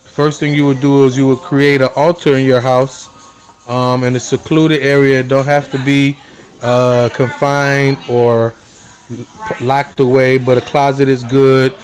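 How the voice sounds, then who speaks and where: Man, earnest, unhurried, lots of fillers, mid pitch. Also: level tone, darkish tone. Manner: earnest, unhurried, frequent fillers like "you know" and "um". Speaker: interviewee, spiritual practitioner. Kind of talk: casual conversational explanation.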